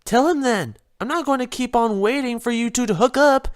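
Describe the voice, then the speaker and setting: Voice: medium pitch, a little fast, no echo, clean studio sound, voice pushed up, close to an everyday voice. A narrator voicing a bossy, blunt young female pony in a storybook reading, impatient and exasperated.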